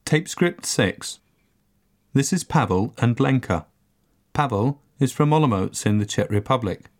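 A man narrating a scripted language course recording, enunciating each word carefully and deliberately. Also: mid-range voice. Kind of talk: scripted language-lesson reading.

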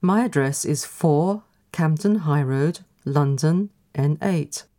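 A woman narrating a scripted language course recording, deliberate and carefully enunciated. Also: low-pitched, dark tone. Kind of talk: scripted language-lesson reading.

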